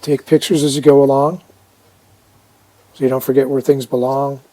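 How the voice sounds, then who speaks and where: A man casually narrating a hands-on restoration video, unhurried and halting as he thinks aloud. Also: medium pitch, level tone, warm tone, low-quality recording. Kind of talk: casual narrated how-to commentary.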